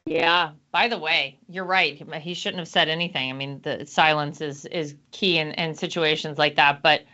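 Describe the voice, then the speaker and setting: A woman from North Carolina hosting a talk show, chatting conversationally with lively reactions. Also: deep voice, normal speed, dull audio. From North Carolina, USA. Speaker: host. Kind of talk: casual talk show chat.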